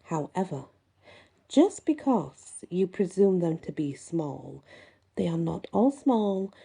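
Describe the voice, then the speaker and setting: A woman host reading folklore aloud and musing on it, with deliberate, emphatic phrasing. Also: fairly low pitch, big pitch swings, creaky, warm tone, slightly rough. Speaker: host and reader. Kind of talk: reading a folklore book aloud with casual asides.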